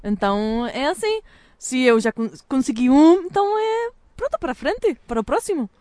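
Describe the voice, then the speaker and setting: A woman's voice: high-pitched, big pitch swings, moderate pace, a little mellow, no echo. A woman who learned Portuguese abroad, speaking in a casual, laughing chat outdoors by a windmill. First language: not Portuguese. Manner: laughs often, chatty, self-deprecating. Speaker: guest.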